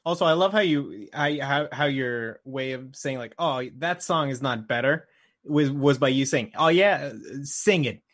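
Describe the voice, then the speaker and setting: A man's voice: mid-range voice, a little slow, fairly bright tone, no echo, studio quality. A man co-hosting a podcast, chatting casually in geeky banter. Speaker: podcast co-host.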